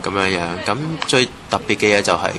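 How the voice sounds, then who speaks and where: A man's voice: deep voice, fairly quick. A man with a Hong Kong Cantonese accent, casually sharing recollections as an interviewee. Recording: noisy ambient location recording.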